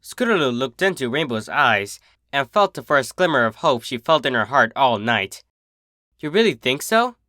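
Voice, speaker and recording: A man reading fan fiction aloud in an expressive storybook narration style. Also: medium pitch, moderate pace, dry recording, studio quality. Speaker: fan fiction reader, channel host.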